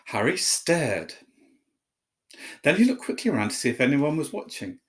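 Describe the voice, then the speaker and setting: A man's voice: on the high side, big pitch swings, moderate pace. A man telling a story, reading aloud expressively as a narrator.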